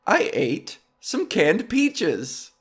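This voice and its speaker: A man in his thirties talks through a comedic podcast monologue, wandering off on tangents and poking fun at himself. His voice sits a bit high for a man and swings between quick and slow stretches. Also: wildly swooping pitch. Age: 30s. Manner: rambling, self-deprecating, profane, jumps between tangents. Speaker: podcast host.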